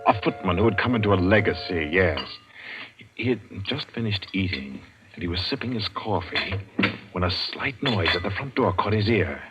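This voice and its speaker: A man announces and narrates a 1940s radio suspense drama in a dramatic, ominous, measured manner with suspenseful pauses. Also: deep voice, animated, very noisy. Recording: muffled vintage radio broadcast. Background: loud dramatic orchestral music.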